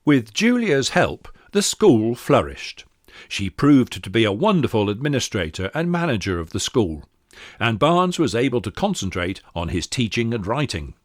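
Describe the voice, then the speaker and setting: A man's voice: mid-range voice, sing-song, moderate pace, no room sound, clean studio sound. A man giving scripted narration in a documentary style.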